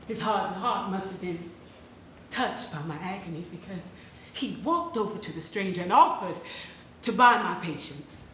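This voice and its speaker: A woman plays a freed mother mourning her lost child in a dramatic stage reading. Her voice is fairly high and rings through a very echoey hall, with faint noise underneath. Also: a bit bright. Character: grieving freed mother who lost her young daughter.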